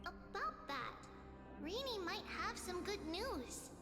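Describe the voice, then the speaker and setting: An earnest, girlish fantasy-game heroine, tells of her world in a tiny, very high voice. Her words ring out in an echoing hall over music. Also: very animated, takes it slowly, full character voice. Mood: earnest hope. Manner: earnest, storytelling. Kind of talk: fantasy video game voice acting. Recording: echoey hall reverb under game music.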